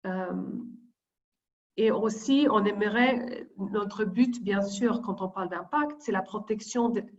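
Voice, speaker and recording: A woman reads her prepared opening remarks at a middling pitch, stopping often to restart a phrase. The sound is a muffled, lo-fi video call. She is a deputy coordinator speaking to colleagues. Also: takes it slowly, a little hiss or hum. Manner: hesitant, many fillers and restarts while reading.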